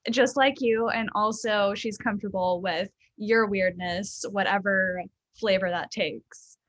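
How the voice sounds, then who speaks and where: A young adult woman from the American South, a therapist talking casually and thoughtfully in an interview, with frequent ums and soft laughs. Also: medium pitch, steady tone, a little slow, no room sound, muffled recording. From Southern United States.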